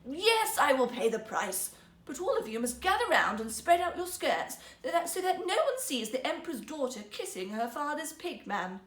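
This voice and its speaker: A woman's voice: high-pitched, big pitch swings, normal speed, fairly bright tone, echoey room, voice pushed up. A woman voicing a spoilt, haughty young princess in an emphatic, imperious storybook manner. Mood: giving in.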